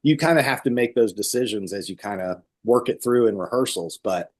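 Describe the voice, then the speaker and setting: A man's voice: on the low side, animated, fairly quick, a little mellow. Man giving casual, explanatory interview answers. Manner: explanatory, illustrating with acted-out quotes. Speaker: stage actor and guest.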